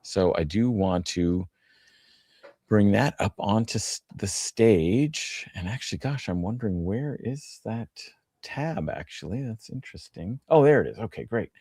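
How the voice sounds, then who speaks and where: A man's voice: on the low side, expressive, unhurried, mellow, slightly rough, no echo, studio quality. A man hosting a livestream panel in casual, chatty talk full of fillers, who gets enthusiastic. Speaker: host.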